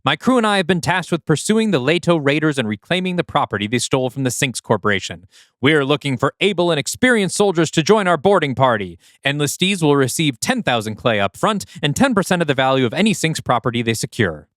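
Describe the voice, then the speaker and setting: A man voicing a stern military commander giving a crisp briefing to troops. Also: a little high, sing-song, quick pace, a bit bright, no room sound, clean studio sound. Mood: commanding. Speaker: podcast co-host. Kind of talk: reading a script aloud with character voices.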